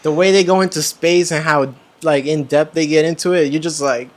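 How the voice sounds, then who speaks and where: Guy musician being interviewed, voice a bit on the high side, laid-back casual chat, agreeable. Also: fairly even intonation, a little fast. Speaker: interview guest, music artist.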